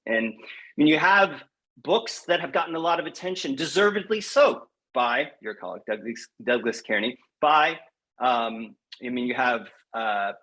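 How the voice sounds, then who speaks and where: A man's voice: a little high, fairly bright tone, low-quality recording. A man speaking as a poet guest in a casual podcast interview, frank and emphatic, repeating phrases for stress.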